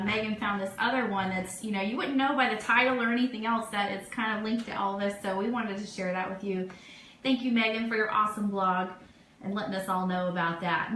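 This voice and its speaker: Female webcast host, informal and chatty, forceful bright tone, echoey room with faint noise. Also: a little high. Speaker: radio show host. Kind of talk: casual live webcast news chat. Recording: roomy echo, faint background noise.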